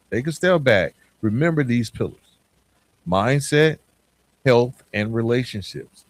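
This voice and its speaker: A man with a low voice and very animated intonation delivers a motivational podcast monologue. He talks conversationally as a host, leaning on key words and pausing deliberately between phrases.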